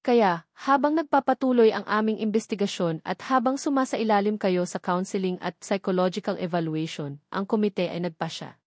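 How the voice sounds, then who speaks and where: Female narrator as a formal, firm social worker in a Filipino audio drama story; Filipino accent, mid pitch, official delivery, dry sound. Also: moderate pace, a little mellow, no room sound, close to an everyday voice. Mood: grave, official.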